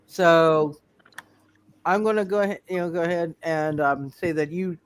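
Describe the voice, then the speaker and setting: A man narrating a tabletop game as game master, improvising in a halting way and repeating himself. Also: a little high, steady tone, smooth.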